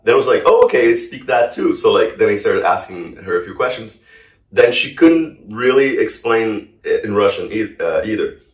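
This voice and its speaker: Interview guest: man, thirties, from Brazil, native Portuguese speaker, deep-pitched, casual storyteller, echoey room recording. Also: lively intonation, normal speed. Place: indoor living room, on a sofa. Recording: roomy, distant microphone.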